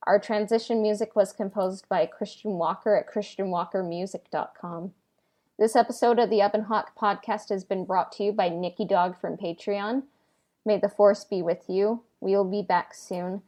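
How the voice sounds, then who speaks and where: A woman's voice: medium pitch, steady tone, moderate pace, mellow. Female co-host, average register, casual podcast sign-off and credits style. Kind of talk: casual hosting, reading show credits.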